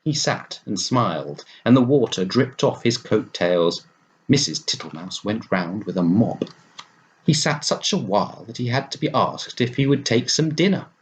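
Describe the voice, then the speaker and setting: A male storyteller reads aloud for children. His voice sits a little low and moves with lots of melody in an animated narrating style. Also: moderate pace, dull audio, slight background noise.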